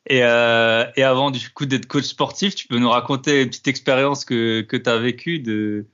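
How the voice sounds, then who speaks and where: A man from Alsace hosting a podcast interview, asking questions and answering with quick "ok, top" affirmations. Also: medium pitch, steady tone, a bit bright, clear, resonant tone. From Alsace, France. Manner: friendly, short affirmations. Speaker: podcast host. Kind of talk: casual interview. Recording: dull lo-fi call audio.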